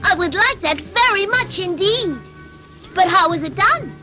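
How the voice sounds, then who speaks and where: A very high, childlike voice of a wooden puppet boy, earnest and trusting. The delivery swings expressively in an old dubbed cartoon, with music behind it. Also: lively intonation, unhurried. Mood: curious. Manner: earnest, childlike, wide-eyed. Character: naive, boastful little wooden puppet boy, good-hearted. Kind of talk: dubbed vintage cartoon acting.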